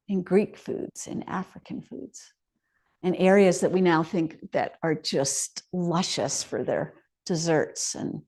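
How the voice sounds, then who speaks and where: Woman giving a storytelling talk, lowish voice. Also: creaky. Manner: warm storytelling lecture with rhetorical questions. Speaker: chef and speaker. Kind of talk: public talk to an audience. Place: hall of a residential contemplative community.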